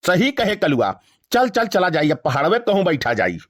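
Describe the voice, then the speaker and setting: A man acts out an older cartoon villager with a distinctly high voice and an Awadhi accent. He grumbles and teases like a put-upon village comic. The recording is dry, without room sound. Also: big pitch swings, normal speed, no room sound. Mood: gruff. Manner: teasing, grumbling. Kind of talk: Awadhi cartoon comedy voice acting.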